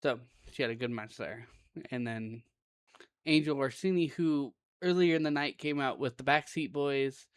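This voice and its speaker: A man with a raspy voice reviewing wrestling matches in a rambling, conversational way, often correcting himself mid-sentence. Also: medium pitch, normal speed. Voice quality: raspy right now. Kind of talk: casual solo show review.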